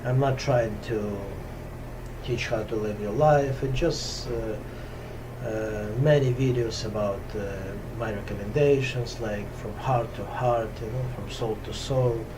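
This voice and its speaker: A man from Russia speaking English with a Russian accent, talking slowly and hesitantly with many pauses and fillers in a casual spiritual talk to camera. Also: medium pitch, steady tone, background noise. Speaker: yoga teacher. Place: top-floor room at home on a hot day.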